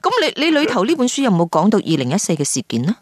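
A man works as a radio interviewer. His voice is fairly high and very lively in intonation, putting short, casual questions in a close, dry sound. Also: rapid-fire, dry recording.

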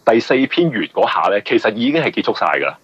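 A man with a Hong Kong Cantonese accent, a novelist explaining his work in a conversational interview, often halting and repeating words. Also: fairly low pitch, sing-song, breathy edge, no echo, dull audio. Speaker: novelist guest.

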